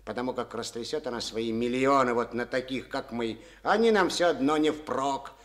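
A man's voice: mid-range voice, normal speed, a bit bright, lightly acted. An actor playing a resentful, stubborn collective-farm chairman in a studio radio play, speaking in a folksy way full of proverbs. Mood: bitter.

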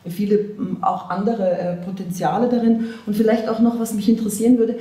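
A middle-aged woman interviewing an author, asking measured, academic questions. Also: medium pitch, little pitch movement, mellow, recorded from a distance. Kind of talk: literary interview. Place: bright room with tall windows. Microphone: far camera mic. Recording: roomy, from afar.

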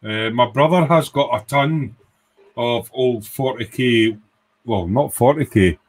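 A man's voice: medium pitch, slow pace. Rambling older Scottish man chatting on livestream. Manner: rambling, informal. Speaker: livestream host, hobbyist. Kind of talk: casual livestream chat. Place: small home workshop room.

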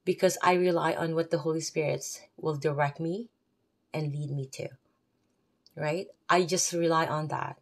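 Low-voiced Filipino woman preaching off the cuff. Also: steady tone, normal speed. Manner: rambling, off the cuff, chatty asides. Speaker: faith vlogger. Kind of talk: unscripted religious talk to camera.

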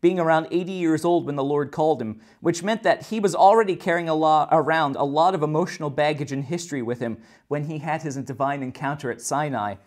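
A man's voice: a little high, a little fast, hardly any pauses, darkish tone. Earnest man teaching a kids' Bible lesson. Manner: earnest, explanatory, with occasional restarts. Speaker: children's ministry teacher. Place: indoor church room.

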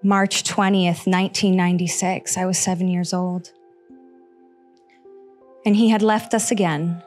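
A woman's voice: fairly low pitch, level tone, darkish tone, faint music behind. Earnest woman telling a personal story. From Costa Rica. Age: 30s. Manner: slow, earnest, heartfelt delivery with pauses. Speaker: storyteller on stage. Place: small stage in front of seated audience. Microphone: handheld mic.

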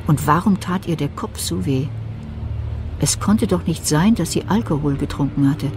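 A woman's voice: sits low, normal speed, noisy background, lightly acted. A woman narrating a suspenseful thriller radio play as a third-person storyteller.

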